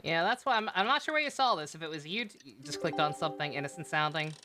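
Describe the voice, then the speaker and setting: A woman's voice: sits low, fast, background noise. A woman streaming a video game with casual, exasperated, sweary commentary.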